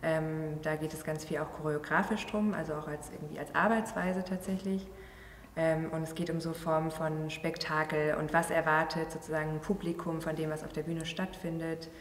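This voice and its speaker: A thirty-year-old woman explains her work in a casual interview, halting now and then to search for words. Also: sits low, fairly even intonation. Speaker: performer and choreographer interviewee. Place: large empty theatre venue. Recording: echoey hall, distant mic, faint noise.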